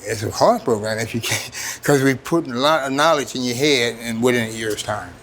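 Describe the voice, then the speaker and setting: A man's voice: medium pitch, normal speed, fairly bright tone, slight background noise. A man speaking as a trainer in an informal workshop, plain-spoken and repeating phrases for emphasis. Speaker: trainer, program coordinator.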